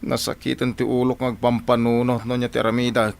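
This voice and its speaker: A man's voice: mid-range voice, flat delivery, fast, creaky, lightly acted. A man with an Ilocano Filipino accent playing a lazy, whining, easily startled husband and father in a radio drama, exclaiming, stammering and wheedling. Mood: worried.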